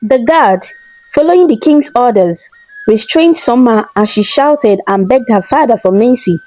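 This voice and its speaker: A woman's voice: on the high side, animated, measured pace, a little mellow, muffled recording. A woman narrator reading a scripted folktale in a storybook style.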